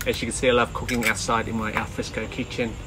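A relaxed man, talking through a recipe in a casual, chatty way. His voice sits at an ordinary middle pitch. The camera mic picks him up outdoors, with loud background noise behind him. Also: quick pace. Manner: relaxed, chatty, with frequent ums. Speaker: cooking vlogger. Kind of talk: casual cooking commentary. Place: outdoor garden kitchen and rocky bay shore. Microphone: camera mic at medium distance.

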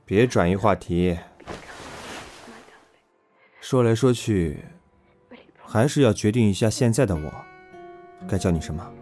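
A man playing a teasing, possessive, somewhat gruff young boyfriend in an audio drama. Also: on the low side, animated, measured pace, long pauses, dark tone, faint music behind. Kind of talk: Chinese audio drama acting.

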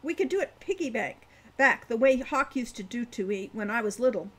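A woman voicing an excitable, playful twelve-year-old girl in an audiobook, with a gleeful, exclaiming manner. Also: a little high, a little fast. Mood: confident, eager.

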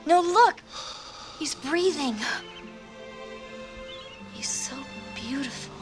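A woman playing a wide-eyed, lovestruck teenage mermaid in an animated film. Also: sits high, drawn-out words, music in the background, full character voice. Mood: awed, tender.

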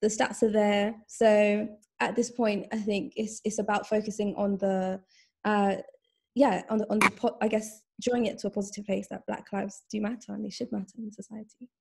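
Husky, emphatic woman panelist. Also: mid-range voice, level tone, normal speed. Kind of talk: video call panel discussion. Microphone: video call.

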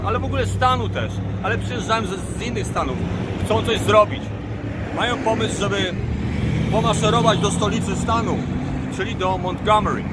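A man in his forties, a tour guide, explaining history informally to camera in a halting way, with pauses mid-phrase. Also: fairly high pitch. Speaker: tour guide and travel vlogger. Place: outdoors by a road bridge. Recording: loud outdoor traffic noise. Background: passing cars and vehicles.

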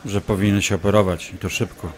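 An actor playing a smug, obsessive doctor in an audio drama, taunting and insinuating as a manipulative ex-lover. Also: male voice, low-pitched, steady tone, fast talker, close to an everyday voice. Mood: insinuating, pressing. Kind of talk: audio drama acting, dialogue.

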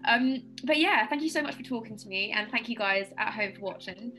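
A woman's voice: fairly high pitch, a little fast, bright, forceful tone, soft background music, rough recording. A woman in her twenties casually hosting an interview over a video call. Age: 20s. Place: indoor room, video call.

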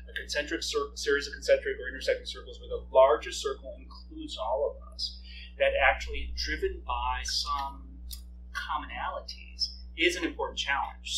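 A middle-aged man whose first language is Korean, speaking in an animated, conversational way to a room, thinking aloud with fillers. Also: mid-range voice. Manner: animated, thinking aloud with many fillers, gestures while talking. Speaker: speaker leading a campus discussion. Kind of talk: conversational talk answering audience. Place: lecture room with projection screen. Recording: slightly distant voice, faint hum.

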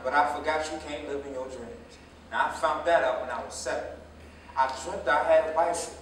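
A man's voice: on the high side, lightly acted. Guy playing american ex-con in a play, plain emphatic talk, dreamer gone realist, big echo, faint noise. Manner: plainspoken, emphatic storytelling with repetition. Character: disillusioned male ex-convict, dreamer turned bitter realist. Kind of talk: staged play reading, dramatic monologue. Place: indoor theater stage, recorded from afar. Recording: echoey stage, distant mic, faint noise.

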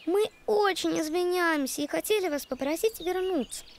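A woman's voice: extremely high pitch, normal speed, a little hiss or hum. A woman voicing a polite, earnest young child in a cartoon, with an apologetic manner. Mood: apologetic, hopeful. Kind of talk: cartoon character acting.

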